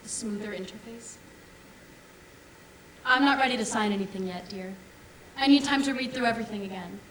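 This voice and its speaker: A woman's voice: a little high, normal speed, fairly bright tone, distant mic, lightly acted. A woman on stage playing a wary, skeptical geneticist, speaking in a guarded, hesitant way. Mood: wary, subdued. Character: wary, skeptical pioneering geneticist woken from cryo-sleep. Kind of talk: staged musical reading, stage acting. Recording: echoey theatre stage.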